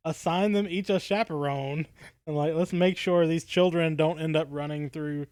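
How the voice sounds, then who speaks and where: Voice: medium pitch, fairly even intonation, normal speed, a little mellow, no room sound. A podcast co-host with a mid-range voice of unclear gender, in casual discussion. The delivery is tentative, as if thinking aloud, and the sound is very dry.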